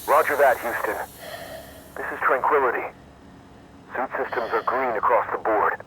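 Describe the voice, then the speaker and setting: A man's voice: mid-range voice, moderate pace, bright, forceful tone, slight background noise, low-quality recording. A man playing a serious astronaut on the radio. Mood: grave. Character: serious male astronaut on a lunar walk. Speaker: astronaut character. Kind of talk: game voice acting.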